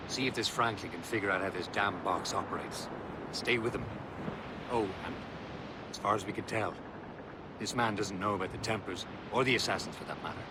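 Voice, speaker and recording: A man playing a brisk, wry comrade handing out orders in a video game drama. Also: lowish voice, very noisy, natural voice. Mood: brisk, instructing.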